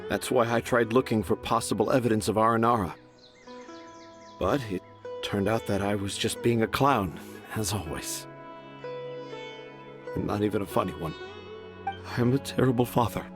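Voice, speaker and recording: A man playing a remorseful, self-deprecating father in a video game, speaking in a halting, apologetic way. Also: on the low side, music in the background, natural voice. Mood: ashamed, dejected. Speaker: voice actor in a game. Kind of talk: emotive video game story dialogue.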